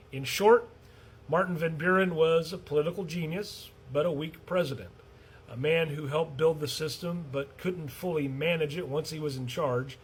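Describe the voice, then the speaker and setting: A man's voice: on the high side, a little hiss or hum. A man hosting a casual educational video, explaining history in a chatty, wry way with frequent ums.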